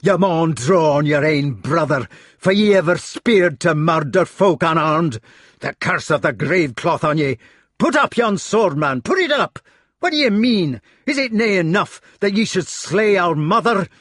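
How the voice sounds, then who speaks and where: A man's voice: medium pitch, animated, moderate pace, a bit bright, husky, no room sound, clean studio sound. A man voicing a hot-tempered, towering Scottish clan head, a blustering and commanding knight in a storybook reading. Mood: raging.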